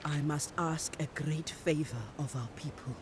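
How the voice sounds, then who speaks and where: Woman playing a low, solemn, resolute priestess. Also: steady tone, lightly acted. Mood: earnest, pressing. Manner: formal, solemn, deliberate. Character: earnest, resolute priestess leader of an island people. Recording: noisy ambient background.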